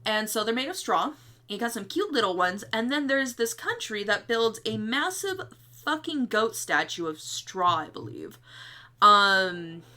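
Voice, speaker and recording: A woman's voice: fairly high pitch, animated, measured pace, bright, forceful tone. A woman chatting casually as a livestream host, with a giggly, rambling manner and some casual swearing. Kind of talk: casual livestream chat about own story notes.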